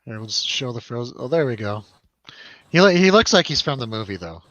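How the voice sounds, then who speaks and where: A man's voice: mid-range voice, very animated, brisk, a bit bright, muffled recording. A man hosting a casual film chat, joking around, hesitating and trailing off. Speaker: host of a film review show.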